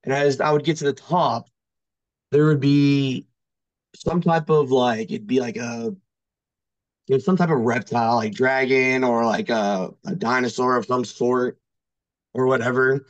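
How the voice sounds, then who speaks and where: A man's voice: mid-range voice, fairly even intonation, measured pace, no room sound. Rambling man, slightly dull recording. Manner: rambling, heavy use of "like", hesitant. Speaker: podcast guest. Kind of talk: casual chat, personal storytelling.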